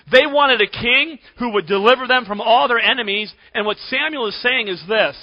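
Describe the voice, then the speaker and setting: Man, high voice, hoarse, church preaching, long church reverb, muffled recording. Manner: clears throat often, emphatic preaching. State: losing his voice, hoarse. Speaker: pastor. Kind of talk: sermon. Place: church sanctuary.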